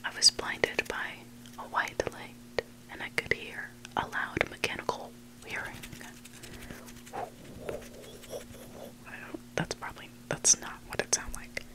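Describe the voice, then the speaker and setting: A person reading stories aloud in a breathy, hushed ASMR whisper, close to the mic. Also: normal speed, rough recording. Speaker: host reading listener-submitted stories. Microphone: close mic, made for headphones.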